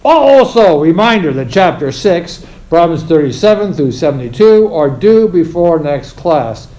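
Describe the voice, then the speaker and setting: A man's voice: fairly high pitch, very animated, a little slow, mellow, low-quality recording. A man playing a polite teacher addressing an online class in an amateur audio drama. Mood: matter-of-fact.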